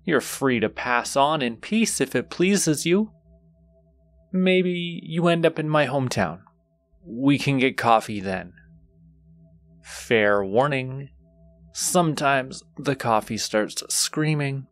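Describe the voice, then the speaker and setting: A man's voice: medium pitch, lively intonation, takes it slowly. A man playing a teasing, flirtatious, gleefully wicked demon suitor in an intimate audio roleplay, drawling seductively to the listener. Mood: mock solemn, teasing. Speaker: voice actor.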